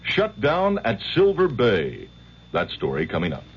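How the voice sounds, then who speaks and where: A man's voice: mid-range voice, huge pitch swings, unhurried. An American man reading a scripted radio newscast as the anchor. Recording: dull 1970s radio broadcast, faint hum.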